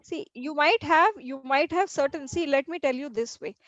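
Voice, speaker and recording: A middle-aged woman with an Indian English accent lectures her students in a high voice. She explains point by point, often restarting and repeating her phrases. The recording is dry and dull, with a lo-fi sound. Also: moderate pace, fairly bright tone, no room sound. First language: Hindi. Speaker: teacher. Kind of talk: classroom lecture.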